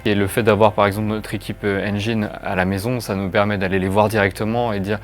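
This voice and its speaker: Over a music bed, a man with a fairly low voice explains things in an interview. He is a game developer, phrasing his points thoughtfully and with some hesitation. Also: level tone, fast.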